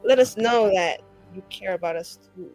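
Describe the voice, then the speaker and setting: A woman's voice: medium pitch, normal speed, darkish tone, poor audio. A young woman chatting casually and jokingly in a group, with a slangy, theatrical, hyperbolic way of talking. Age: young adult. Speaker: cast member of a tabletop roleplaying show. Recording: music behind the voice. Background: music.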